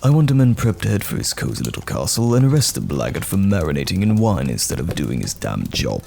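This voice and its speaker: A man playing a gruff but kindly knight general in a fantasy audio roleplay, speaking in hearty, archaic soldierly phrases with a gravelly voice. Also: big pitch swings, quick pace, a little mellow, slight background noise, heavily acted. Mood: brisk, indignant. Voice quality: very deep, gravelly.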